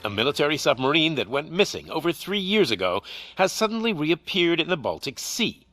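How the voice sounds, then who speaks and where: An actor playing a TV news anchor with a polished, upbeat newsreader delivery. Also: male voice, on the high side, sing-song, fairly quick, music in the background. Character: smooth male TV news anchor. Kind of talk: video game cutscene acting.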